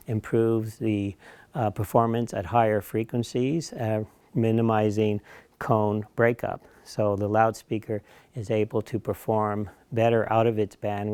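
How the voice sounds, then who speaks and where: A man with a fairly low voice explains technical details as an engineer would. His intonation stays flat and his delivery is halting. The recording is dry and close, with very little room sound. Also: dry recording. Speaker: loudspeaker engineer.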